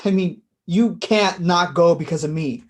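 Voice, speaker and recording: A woman's voice: fairly low pitch, measured pace. A woman playing an insistent, needling younger brother of college-graduate age in a naturalistic staged play reading, pressing and direct. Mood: insistent, challenging.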